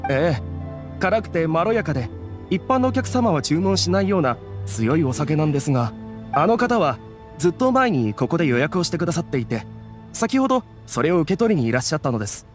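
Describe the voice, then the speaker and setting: A man's voice: a little high, big pitch swings, fairly quick, soft background music. A man playing a courteous, unflappable waiter in an anime game, using very polite service talk. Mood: helpful.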